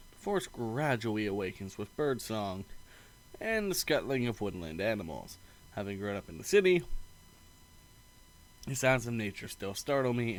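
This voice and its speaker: A man's voice: on the low side, poor audio. A man streaming a game, reading its narration aloud expressively, sometimes stumbling and breaking into casual asides.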